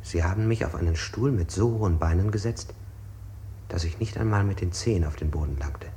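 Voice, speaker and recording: Interrogated man, male actor, low and dark-toned, repetitive literary phrasing, evasive, oddly exact, German experimental radio play. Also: brisk, slight background noise, natural voice. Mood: quietly reproachful. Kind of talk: 1960s German avant-garde radio play.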